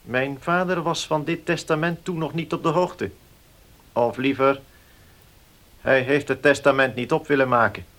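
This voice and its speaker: An actor playing a formal notary in a Dutch radio play, speaking precisely and with measured care. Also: male voice, medium pitch, steady tone, a bit bright, lightly acted. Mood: businesslike. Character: formal, measured male village notary reading a will.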